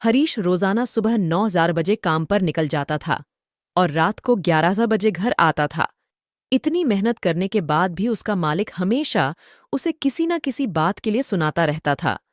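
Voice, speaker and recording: A woman narrating a cartoon moral story in a clear, steady storytelling voice. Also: lowish voice, measured pace, no room sound, clean studio sound.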